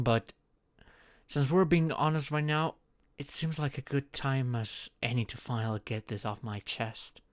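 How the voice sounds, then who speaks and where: A man's voice: mid-range voice, no echo, close to an everyday voice. A man playing a shy, flustered young social outcast in a romantic audio roleplay, speaking hesitantly with stammers and sighs. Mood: reluctant confessing.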